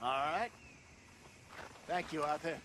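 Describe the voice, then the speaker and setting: Genial old outlaw for a western game: dry, teasing, easygoing, higher voice over noisy outdoor ambience. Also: male voice, slow. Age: older. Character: wry, genial old outlaw, seasoned fisherman. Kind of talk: cinematic western game acting.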